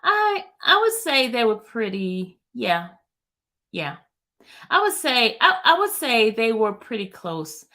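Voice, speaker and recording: A woman reading out a male gang witness's testimony in a lowered voice, answering in quiet, halting phrases. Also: very animated, exaggerated voice. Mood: recalling.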